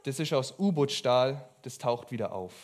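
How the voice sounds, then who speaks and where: A man's voice: mid-range voice, animated, darkish tone, a little hiss or hum. Earnest young preacher man. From abroad. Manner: earnest, emphatic, pauses often between phrases. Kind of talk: sermon.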